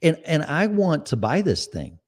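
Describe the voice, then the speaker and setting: Animated, profane Chicago-accented man ranting. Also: on the high side, measured pace. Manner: profane, sarcastic, blunt. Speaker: podcast host. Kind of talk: solo podcast rant.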